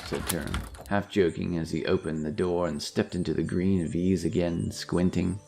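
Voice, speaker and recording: A man's voice: low voice, fairly even intonation, normal speed, creaky, warm tone, a little hiss or hum. A man narrating a scripted fantasy story as a storyteller. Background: music.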